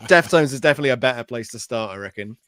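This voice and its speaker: A Welsh man who grew up speaking Welsh talks casually as a guest, rambling and self-deprecating, with lots of filler words. His voice sits at a middle pitch. It is recorded close and dry, with no room sound. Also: lively intonation, racing, a bit bright, dry recording. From Wales (Anglesey). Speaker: guest, pedal maker. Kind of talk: casual podcast chat.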